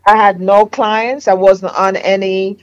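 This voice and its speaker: A woman's voice: mid-range voice, little pitch movement, unhurried, no room sound, muffled recording. A woman with a Jamaican accent, a therapist sharing her faith story in an earnest, reflective conversation. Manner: earnest, reflective, frequent fillers. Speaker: therapist and business owner.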